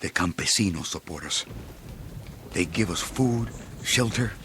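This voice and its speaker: An actor playing an impatient, proud rebel leader with a curt, commanding manner in a radio drama. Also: male voice, low-pitched, lively intonation, a little slow, a bit bright, slight background noise. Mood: proud, insistent. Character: impatient, proud male rebel leader marching through jungle. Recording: clear insect and jungle ambience.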